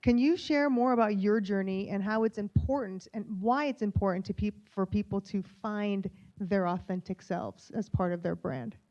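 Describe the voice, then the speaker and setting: A woman's voice: mid-range voice, moderate pace, dark tone. Woman moderator asking questions, friendly, muffled recording. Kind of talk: panel moderation.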